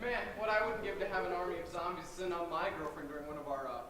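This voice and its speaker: A man's voice: high voice, fast talker, recorded from a distance, lightly acted. A man playing a goofy, lovelorn survival-guide presenter in an amateur stage comedy, recorded from afar in an echoey, noisy hall. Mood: hopeful, pleading. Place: indoor stage of a school performance.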